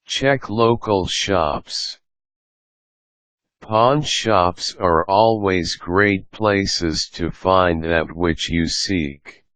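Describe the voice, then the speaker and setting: A man's voice: low voice, drawn-out words, a bit bright, no room sound. A man reading a scripted how-to guide as an instructional narrator.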